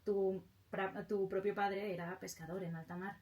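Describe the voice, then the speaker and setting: A woman's voice: medium pitch, flat delivery, moderate pace, a little mellow. A woman with an accent from Spain, hosting an interview and asking questions in a conversational way. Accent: Spanish from Spain. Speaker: interview host.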